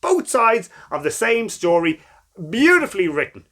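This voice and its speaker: A man reading stories to children in an expressive, encouraging, playful way. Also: sits high, moderate pace. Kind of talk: animated read-aloud storytelling for children.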